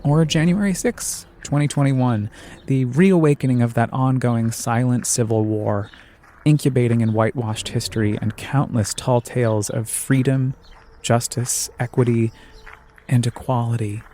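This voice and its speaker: A man hosting a podcast, reading scripted, reflective narration in a measured, earnest way. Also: mid-range voice, fairly even intonation, dark tone, slight background noise. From United States.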